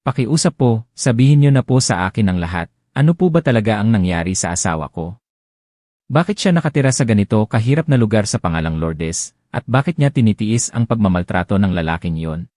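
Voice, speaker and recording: A man's voice: lowish voice, expressive, brisk, warm tone, no echo, clean studio sound, natural voice. A man reading the lines of a grieving, determined husband in an audiobook story, pleading with a restrained intensity. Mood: earnest.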